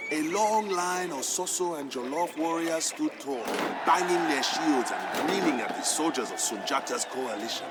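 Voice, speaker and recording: Actor as a solemn griot storyteller, higher-pitched man. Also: lightly acted. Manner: grand, measured, emphatic storytelling. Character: proud male griot, a king's humble family historian, solemn storyteller. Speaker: narrator. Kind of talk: dramatic scripted narration for a strategy game campaign. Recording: music behind the voice. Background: background music.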